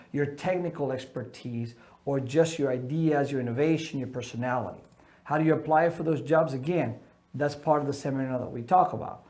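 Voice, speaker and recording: A middle-aged man from Ecuador leads a seminar on leaving the military, his voice sitting a little high for a man. He talks in an earnest, emphatic way, pushing key words loud and then dropping soft. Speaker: military transition coach. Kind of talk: seminar talk.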